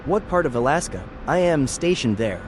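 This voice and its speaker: A male voice reading out short viewer chat messages in a flat, even way. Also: mid-range voice, normal speed, slight background noise.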